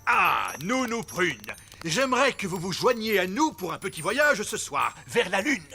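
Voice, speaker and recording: A man's voice: sits high, very animated, a little slow, sharp tone, a little hiss or hum, heavily acted. A man voicing a haughty, grumbling fairy king in a children's cartoon, pompous and petulant. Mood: pleased, commanding. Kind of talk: French-dubbed children's cartoon acting.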